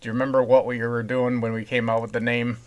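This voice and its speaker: A man with a mid-pitched voice co-hosts a podcast in loose, unscripted conversation. He talks informally and puts his views across with emphasis. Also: little pitch movement, fast talker. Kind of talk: casual unscripted podcast chat.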